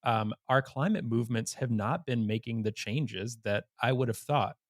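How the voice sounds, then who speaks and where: A man's voice: medium pitch, normal speed, no echo, studio quality. A man hosting a podcast in an informal, blunt conversational manner. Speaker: podcast host. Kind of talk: conversational interview.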